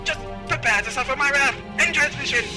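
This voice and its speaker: Shrill, bombastic villain baron. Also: male voice, fairly quick, over loud music. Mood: indignant. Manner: theatrical, shouting outbursts, pompous. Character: bombastic melodramatic villain baron, gloating arch nemesis. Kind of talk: comedic audio drama acting.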